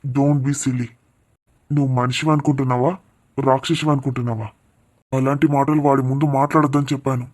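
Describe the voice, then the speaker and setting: A man's voice: medium pitch, little pitch movement, fairly quick, pauses often, darkish tone, lightly acted. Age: adult. Sex: a man playing an upright, indignant father. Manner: firm, indignant rebukes. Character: upright father, protective of his friend's daughter. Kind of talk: melodramatic audio drama.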